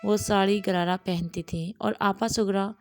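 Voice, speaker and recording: A woman narrates a scripted audio story. Her pitch sits in the middle for a woman and her delivery is slow and measured. Light music plays behind her. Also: fairly even intonation, a little mellow. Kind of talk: scripted audio storytelling in Urdu with acted dialogue.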